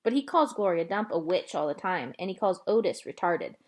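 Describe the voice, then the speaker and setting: Woman as an earnest young girl, animated. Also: on the low side, a little fast. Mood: stubborn, indignant. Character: earnest, plain-spoken young girl, eager and stubborn.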